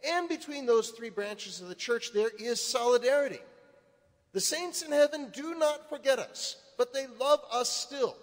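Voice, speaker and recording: Priest preaching, lively high voice. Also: male voice, normal speed. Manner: preaching with rising emphasis. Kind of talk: sermon. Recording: reverberant church hall.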